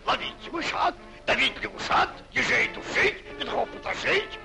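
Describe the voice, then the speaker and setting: A man's voice: very high voice, sing-song, normal speed, gravelly, pushed and bright, full character voice. A man voicing a proud, feisty rooster in an old 1940s cartoon, declaiming in boastful rhymed verse. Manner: declamatory, strutting, crowing. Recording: old 1940s film soundtrack, faint music.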